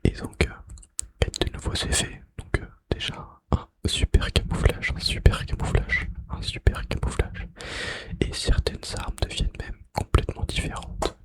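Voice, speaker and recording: A man speaks entirely in a breathy whisper, as an ASMR host would. He narrates softly, lingering on key phrases and repeating them, with small hesitations along the way. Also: normal speed. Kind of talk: whispered ASMR narration of video game facts.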